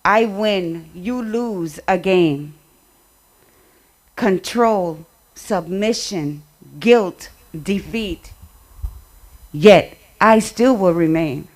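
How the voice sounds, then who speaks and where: A woman speaking at a community memorial event, delivering remarks and poetry in short, measured phrases. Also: mid-range voice, darkish tone.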